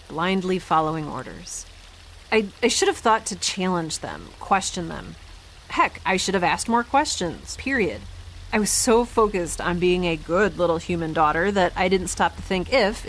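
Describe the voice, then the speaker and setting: Halting, guilt-ridden young woman, lowish voice. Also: slight background noise, poor audio. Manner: halting, reflective, self-questioning. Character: guilt-ridden young woman scientist keeping an audio log. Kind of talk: audio drama monologue, introspective.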